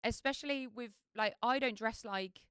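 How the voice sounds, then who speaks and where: A woman's voice: fairly high pitch, normal speed, fairly bright tone, clean tone, no room sound, studio quality. A woman, a wedding singer chatting on a podcast, talking chaotically with lots of fillers. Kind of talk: casual chat.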